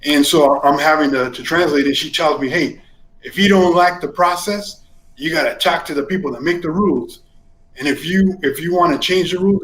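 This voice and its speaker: In a roomy, echoing space, a man in his forties talks in a fairly high voice. He is a native Spanish speaker from California, an interview guest telling stories in a casual, animated way. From East San Jose, California, United States. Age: 40s. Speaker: interview guest, community politics commentator. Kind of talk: casual personal storytelling.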